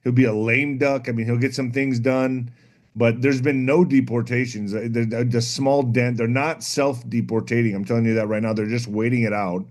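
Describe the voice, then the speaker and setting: A man's voice: mid-range voice, fairly even intonation, normal speed, a little mellow. A man from the El Paso and Mexico border area, talking politics in a blunt, pessimistic way. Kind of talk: casual political chat.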